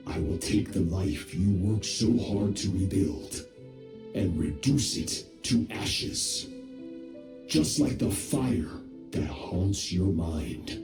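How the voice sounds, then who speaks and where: An actor playing a cold, menacing ancient master of the abyss, speaking slowly and gravely. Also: male voice, sits low, full character voice. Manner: slow, grave, threatening delivery. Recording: echoey game audio with music.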